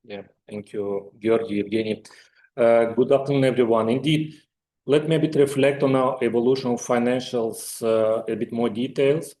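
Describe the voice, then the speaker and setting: A man's voice: mid-range voice, fairly even intonation, moderate pace, mellow. A man speaking as a finance executive, presenting results on an earnings conference call.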